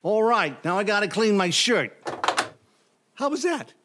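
A man playing an eager, scrappy and somewhat hapless office temp and husband in an audio comedy, with a folksy, excitable manner. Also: high-pitched, measured pace, background noise. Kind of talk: audio comedy drama acting.

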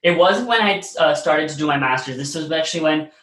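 A man's voice: on the high side, animated, brisk, fairly bright tone. Chatty young man in a roomy echo. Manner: chatty, rambling, heavy on "like" and "you know". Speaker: interview guest, musician. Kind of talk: casual interview storytelling.

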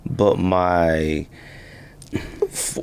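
A man's voice: low voice, steady tone, very slow, darkish tone, smooth. A man co-hosting a sports podcast, chatting and debating casually with a questioning, incredulous manner.

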